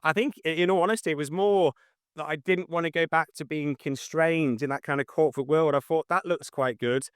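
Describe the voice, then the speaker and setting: A man with a fairly high, clear voice answers questions in a casual interview. His delivery is slightly monotone and matter-of-fact, and the sound is close and dry. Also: brisk, no echo. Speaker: interview guest, estate agent.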